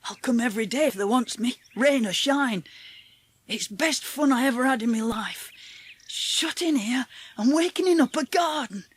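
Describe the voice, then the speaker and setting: High-voiced, friendly Yorkshire moor boy. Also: moderate pace, husky. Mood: friendly enthusiasm. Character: friendly, rustic Yorkshire moorland boy, gentle nature lover. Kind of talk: dramatised audiobook acting.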